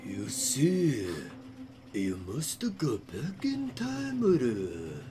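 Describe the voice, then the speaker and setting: A man reading character dialogue aloud with a theatrical, drawn-out delivery. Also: medium pitch, wildly swooping pitch, warm tone, slight background noise.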